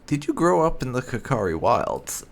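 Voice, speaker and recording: A man hosting a game playthrough, musing deliberately as he roleplays and reads out his character's dialogue choices. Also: medium pitch, sing-song, vocal fry, slight background noise. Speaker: gaming channel host, player.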